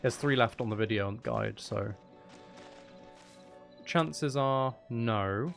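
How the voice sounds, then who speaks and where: Guy streaming a game, medium pitch voice, rambly chat kinda to himself, bit of music in the background. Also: slow, gravelly. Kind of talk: casual live gaming stream chat.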